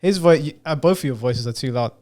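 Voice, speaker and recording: A young man talking in a relaxed, joking way, needling the friend beside him between laughs. His voice sits at an ordinary middle pitch for a man, and his delivery stays unhurried and conversational. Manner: laid-back, teasing, laughs often. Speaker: co-host of a comedy channel. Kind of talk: casual bickering chat to camera.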